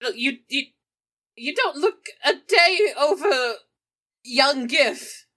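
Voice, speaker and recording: A woman's voice: sits high, expressive, takes it slowly, pushed and bright. A woman playing a tabletop game, switching between casual improvised role-play and wry, chatty table banter.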